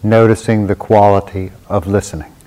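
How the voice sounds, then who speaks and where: A man with a slightly low voice guides a meditation. He speaks in short, unhurried phrases and leaves long silences between them. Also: little pitch movement, dark tone, low-quality recording. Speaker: meditation teacher.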